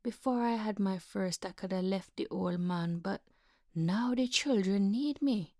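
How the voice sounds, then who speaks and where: A woman playing a frightened, confused, worn-down mother in a dramatic monologue, hushed and halting. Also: mid-range voice, no echo, studio quality, lightly acted. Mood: anxious. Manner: halting, hesitant, repeating herself, wandering.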